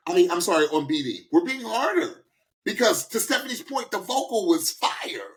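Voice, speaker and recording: A man co-hosts a casual reaction podcast with highly animated intonation. He talks emphatically and off the cuff, often repeating a phrase to drive it home. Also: moderate pace. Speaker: podcast co-host. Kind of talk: casual unscripted reaction commentary.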